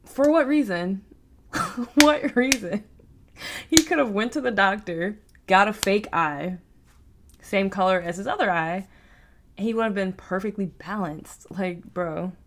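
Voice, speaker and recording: A woman hosting a casual reaction video, expressive and slangy, full of exclamations. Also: medium pitch, unhurried, slight background noise.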